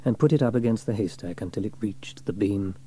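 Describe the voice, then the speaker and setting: A man narrating a scripted children's story in an expressive storytelling manner. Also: on the low side, brisk, husky. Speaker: audiobook narrator.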